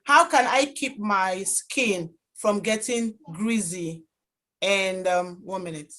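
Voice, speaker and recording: A woman with a mid-pitched voice hosts a live online workshop. She moderates informally, in a halting and somewhat distracted way, as heard over a video call. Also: bright, forceful tone. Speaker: host of an online pageant workshop.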